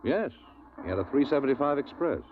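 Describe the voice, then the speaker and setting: An actor playing a fussy, bookish physicist. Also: male voice, mid-range voice, expressive, brisk, noisy background, lightly acted. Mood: earnest. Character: bookish male temporal physicist, fussy and earnest. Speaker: university technician. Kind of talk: 1950s radio drama acting. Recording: tinny old radio broadcast with music.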